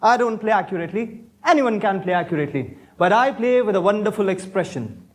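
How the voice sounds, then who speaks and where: A young man playing an idle, witty, pompous young Victorian gentleman in a stage comedy. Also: high-pitched, animated, a little fast. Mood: lordly, flippant. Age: young adult. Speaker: student actor in a stage play. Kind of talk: stage comedy of manners acting.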